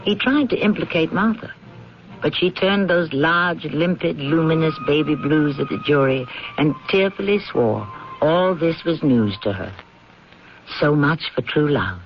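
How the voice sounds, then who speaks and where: A man's voice: on the high side, unhurried, gravelly. A man hosting a radio drama with theatrical narration in an arch, florid manner. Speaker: host. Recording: muffled old radio broadcast, music behind.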